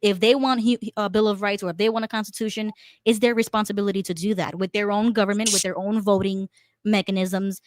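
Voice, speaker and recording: A woman's voice: mid-range voice, fast, no room sound, studio quality. A woman in a casual political discussion, talking animatedly with restarts and laughs. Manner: animated, laughing, repeats herself. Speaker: panelist.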